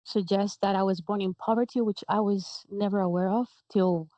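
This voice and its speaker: A woman's voice: mid-range voice, steady tone, moderate pace, dry recording. A young woman from Guatemala, a guest in an interview, telling her story fluently. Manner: warm laughs, fluent storytelling. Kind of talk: interview answers. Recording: dull, dry sound.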